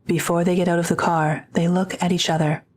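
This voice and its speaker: Female narrator for sci-fi audio drama; deep, slightly rough voice, scripted scene description. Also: little pitch movement, a little fast, a little mellow.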